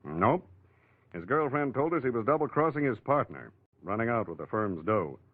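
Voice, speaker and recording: An actor playing a matter-of-fact homicide lieutenant in a 1940s American radio crime drama, with clipped, slangy tough-cop talk and an American accent. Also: male voice, medium pitch, big pitch swings, normal speed, slightly rough, no echo. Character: beleaguered, matter-of-fact police homicide lieutenant briefing reporters. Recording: thin, phone-like old radio recording.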